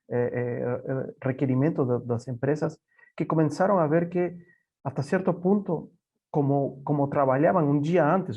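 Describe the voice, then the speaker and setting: A man from Peru speaking Portuguese with a Peruvian Spanish accent, giving a halting conference presentation over a video call, often repeating words and saying "eh". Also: a little high, warm tone, slightly rough. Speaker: panelist, software company representative. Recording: dull lo-fi call audio.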